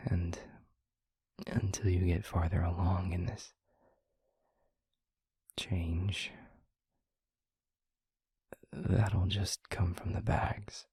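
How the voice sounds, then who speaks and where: A man playing a gentle, protective vampire boyfriend in an intimate ASMR roleplay, speaking softly and reassuringly in a breathy half-whisper with hesitant pauses. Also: low voice, little pitch movement, dry recording, studio quality, heavily acted. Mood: gently explaining.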